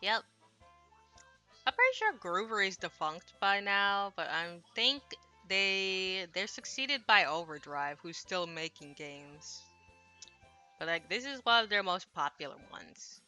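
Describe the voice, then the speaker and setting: A woman's voice: medium pitch, sing-song, measured pace, pushed and bright, faint music behind. A woman streamer chatting casually on a livestream in a rambling, self-deprecating, apologetic way, speaking into a headset. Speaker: streamer translating a Japanese visual novel.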